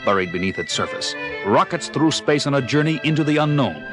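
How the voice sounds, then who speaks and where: A man's voice: medium pitch, expressive, a little fast, over music. A man delivering dramatic scripted narration as the narrator of an audio drama.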